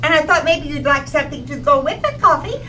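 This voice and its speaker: Woman acting high, bubbly, scatterbrained radio-comedy wife. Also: lively intonation, fast talker, pushed and bright, slight background noise. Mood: earnest, daffy. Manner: bubbly, innocent, earnest. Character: cheerful scatterbrained wife with daffy sincere logic. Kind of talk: old-time radio comedy acting on stage.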